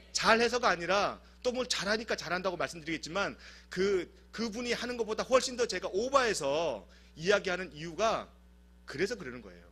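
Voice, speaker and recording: Animated, high husky male pastor. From South Korea. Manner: animated, humorous storytelling. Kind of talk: sermon. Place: church service.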